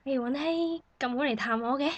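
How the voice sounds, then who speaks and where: A woman's voice: sits high, normal speed, dry recording, dull audio, close to an everyday voice. A woman with a Hong Kong Cantonese accent acting a weary new mother in a satirical radio drama, sighing and complaining. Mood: affectionate. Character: weary, grumbling new mother in a maternity ward.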